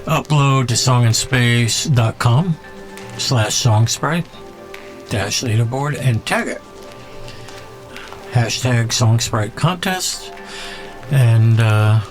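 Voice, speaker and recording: A man talks in a slow, casual monologue with a mid-range voice. He muses whimsically and pauses as he goes, like a host thinking aloud, with soft music behind him. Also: medium pitch, animated. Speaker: contest organizer and show host.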